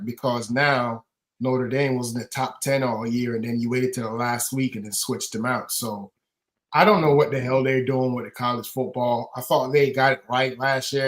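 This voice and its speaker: A man's voice: mid-range voice, a bit bright. Opinionated man making emphatic points. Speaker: podcast co-host. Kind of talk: casual sports chat.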